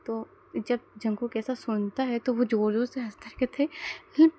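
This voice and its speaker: A woman's voice: a little high, fairly even intonation, normal speed, mellow. A woman with a sore throat telling a fan-fiction story in a chatty, casual way. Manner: chatty, mixes in English words.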